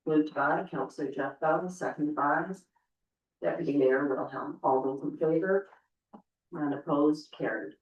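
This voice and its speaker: A council chair running formal meeting procedure in a brisk, procedural manner. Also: medium pitch, slight background noise. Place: council chamber. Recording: echoey distant room mic, dull.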